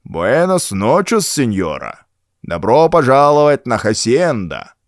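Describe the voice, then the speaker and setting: A man's voice: mid-range voice, very animated, slow, no room sound, clean studio sound. A man voicing a loyal old Mexican ranch servant, grimly courteous, in an audiobook. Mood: courteous welcome. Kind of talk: audiobook character voice.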